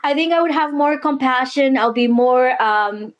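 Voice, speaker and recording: A woman's voice: high voice, normal speed, muffled recording. Reflective woman. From Mozambique. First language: Portuguese. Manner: earnest, reflective, frequent restarts and "you know". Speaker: guest. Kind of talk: candid interview conversation.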